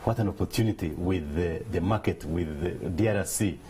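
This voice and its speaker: Deep-voiced man, earnest and measured. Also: dark tone, a little hiss or hum. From Uganda. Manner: measured, earnest, explanatory, with restarts. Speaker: interview guest, business leader. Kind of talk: studio interview.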